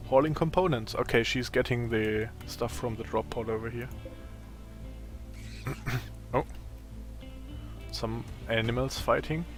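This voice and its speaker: A man with a raspy, tired voice giving casual gameplay commentary, thinking aloud as he plays. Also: medium pitch, faint music behind. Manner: slow, thinking aloud while playing. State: voice strained from a long day of talking.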